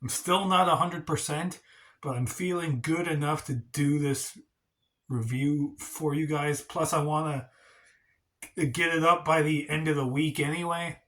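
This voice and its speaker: A man's voice: a little high, steady tone, unhurried, a bit bright. A man hosting a casual wrestling review vlog, talking in a rambling, hedging way, congested and stuffy from a cold. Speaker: wrestling channel host.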